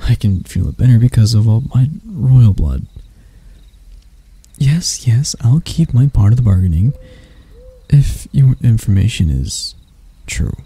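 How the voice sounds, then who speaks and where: Man acting as a dark, velvety-voiced elf prince. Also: medium pitch, expressive, unhurried, long pauses, slightly rough, no room sound, full character voice. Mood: pondering. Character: proud elf prince, stern captor softening into bashfulness. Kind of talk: roleplay ASMR acting, addressed to the listener.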